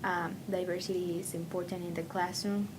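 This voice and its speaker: A woman's voice: level tone, moderate pace. A young woman from Mexico with a Mexican Spanish accent, whose first languages are Spanish and Otomi, answering interview questions as a student in a cheerful way. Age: young adult. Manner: cheerful, laughs often. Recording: noisy recording with low rumble.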